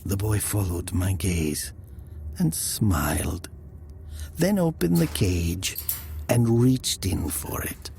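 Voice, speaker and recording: A man playing a haunted storyteller, narrating his own dark tale in the first person with measured, breathy emphasis. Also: low voice, animated, slight background noise, exaggerated voice. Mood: wondering. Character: haunted male storyteller recalling a meeting in hell.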